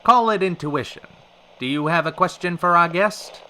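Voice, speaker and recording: A man's voice: high-pitched, moderate pace, pushed and bright, slight background noise. A man playing a wry, chivalrous radio talk show host in a polished, theatrical old-time style. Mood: teasing.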